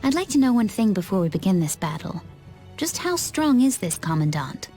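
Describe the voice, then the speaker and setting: A woman's voice: mid-range voice, sing-song, moderate pace, mellow, soft background music. A woman voicing a composed, confident, slightly teasing young woman in a video game. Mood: curious.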